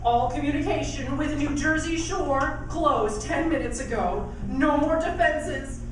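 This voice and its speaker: A woman playing a radio reporter in a live radio play, giving deliberate, halting bulletins on a doomed city. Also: high-pitched, background noise. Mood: grave, urgent. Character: female radio reporter broadcasting a doomed city's invasion. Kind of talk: live radio play acting in old-time news bulletin manner. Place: live on stage in a performing arts hall. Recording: echoey hall, distant pickup, music behind.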